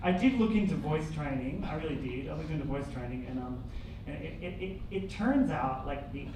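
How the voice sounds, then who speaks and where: Deep-voiced woman in her forties, dry halting stand-up delivery, roomy and distant live-club sound. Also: expressive. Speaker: stand-up comedian. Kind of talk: stand-up comedy set. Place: comedy club stage with live audience. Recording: echoey room, mic from afar, loud crowd noise.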